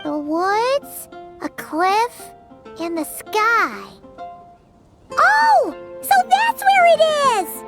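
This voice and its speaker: A squeaky excitable little companion. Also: female voice, very slow, exaggerated voice. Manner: excitable, impatient, blunt. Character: tiny excitable female floating companion. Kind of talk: game voice acting. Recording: game audio with music behind.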